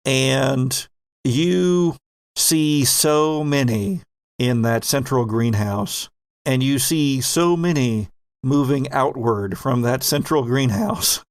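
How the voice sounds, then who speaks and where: A man's voice: medium pitch, slow, dry recording. A man narrating a tabletop roleplaying game as game master, improvising in a conversational way and addressing the players directly.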